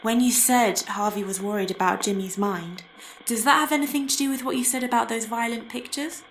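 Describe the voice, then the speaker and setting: A woman acts as an earnest young hotel guest investigating in a horror game. Her voice sits slightly high and she phrases each question cautiously and clearly. There is faint noise behind her. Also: fairly even intonation, brisk, close to an everyday voice, rough recording. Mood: inquisitive, careful.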